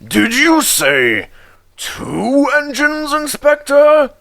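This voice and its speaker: Stern storybook railway boss played by a man: slow, gravelly, wildly swooping, commanding. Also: very high voice, huge pitch swings, fairly bright tone, dry recording, studio quality, voice pushed up, full character voice. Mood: astonished, stern. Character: stern, imperious male railway controller, exasperated boss.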